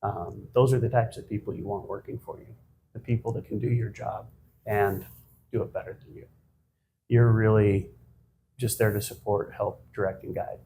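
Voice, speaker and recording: A man in his fifties, a guest on a business podcast, answering in a measured, reflective way with frequent pauses and restarts. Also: lowish voice, fairly even intonation, mellow, a little hiss or hum. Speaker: guest, managing partner of an accounting firm. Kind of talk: conversational podcast interview.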